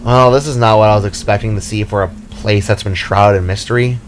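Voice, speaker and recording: A man's voice: lowish voice, fairly even intonation, brisk, slight background noise. A man reading his fantasy story aloud as a dramatic scripted narration. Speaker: author narrating own story.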